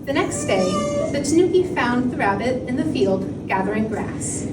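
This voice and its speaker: A woman's voice: fairly high pitch, very animated, moderate pace. A woman reading a fairy tale aloud as a storyteller. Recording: echoey, very noisy room, distant mic.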